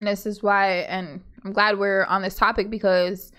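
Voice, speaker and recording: A woman's voice: mid-range voice, level tone, moderate pace, sharp tone. A woman from Hawaii co-hosting a casual podcast with her husband, rambling through her points with lots of asides and fillers. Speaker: podcast co-host. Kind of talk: casual opinionated chat.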